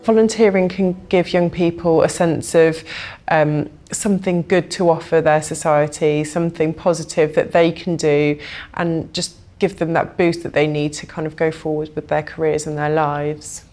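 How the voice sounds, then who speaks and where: A woman's voice: sits low, steady tone, moderate pace, darkish tone, faint music behind. A woman speaks as a charity spokesperson, explaining informally in an interview.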